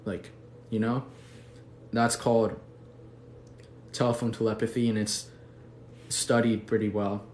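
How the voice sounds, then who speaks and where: A man's voice: on the low side, flat delivery, a little slow, pauses often, a little mellow. A young man from Mexico City chatting casually on a livestream, laid-back, slangy and affectionate as he answers viewers. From Mexico City, Mexico, later the US. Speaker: livestream host. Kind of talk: casual livestream chat reading viewer comments. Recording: echoey room, faint background noise.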